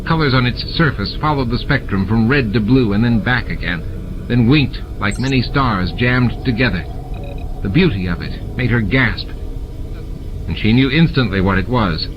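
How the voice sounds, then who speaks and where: A man's voice: medium pitch, expressive, moderate pace, dull audio, background noise. A man reading a science-fiction novel as dramatic scripted narration, with sound effects added. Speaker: audiobook narrator. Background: music and sound effects.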